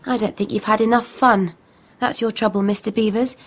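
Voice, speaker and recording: A woman acting in a radio play as a lively, kind, forthright teenage girl. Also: mid-range voice, fairly quick, lightly acted. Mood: kind, teasing. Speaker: actor in an audio play. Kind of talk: British radio drama acting.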